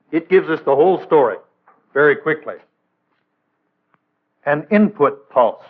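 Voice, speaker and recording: An American man narrating a 1960s instructional film in a measured, didactic way with precise enunciation. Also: on the high side. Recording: band-limited, tinny vintage film soundtrack.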